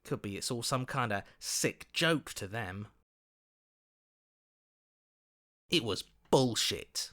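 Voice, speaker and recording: An actor playing a cynical, distrustful inner voice in a video game, bitter and sarcastic. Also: male voice, mid-range voice, lively intonation, a little slow, fairly bright tone. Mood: bitter, suspicious. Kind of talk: scripted game voice acting.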